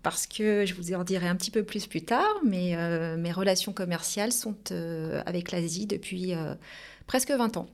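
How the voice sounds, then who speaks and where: A woman, telling her career story as a guest in a lively way, with frequent hesitations. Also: fairly low pitch, moderate pace. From Paris region, France. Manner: lively, hesitant with many fillers. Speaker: company director, guest. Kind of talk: casual interview storytelling.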